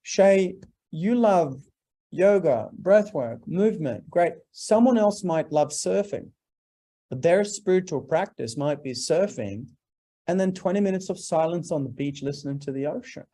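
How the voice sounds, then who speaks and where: Forties male guest from Norway, mellow tone, slightly higher pitch, earnest and preacherly. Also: expressive, unhurried. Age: 40s. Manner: earnest, preacherly, emphatic lists. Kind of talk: interview, motivational talk.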